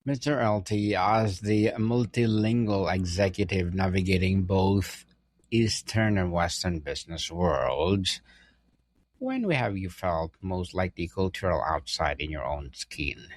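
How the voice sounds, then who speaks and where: A man hosting a podcast, asking a guest an interview question in measured, formal phrasing. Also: low voice, no echo. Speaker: podcast host.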